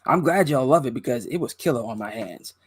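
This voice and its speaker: A man's voice: medium pitch, a little fast, breathy edge, no echo. A man, a guest musician and streamer, telling a story in casual chat and acting out other people's voices. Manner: animated, acts out other people's voices in quotes.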